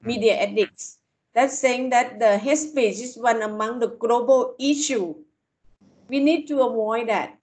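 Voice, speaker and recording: A middle-aged woman from Thailand with a Thai accent, a university lecturer giving an online panel talk in halting English full of fillers, speaking over a video call from a tablet at home. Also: fairly high pitch, a little mellow. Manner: halting, many "kind of" fillers and restarts.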